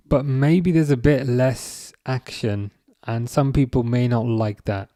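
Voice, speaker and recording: A man hosting a live watch-along stream, chatting casually with viewers in a relaxed, hesitant way with plenty of fillers. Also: mid-range voice, no room sound, studio quality. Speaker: livestream host reading chat.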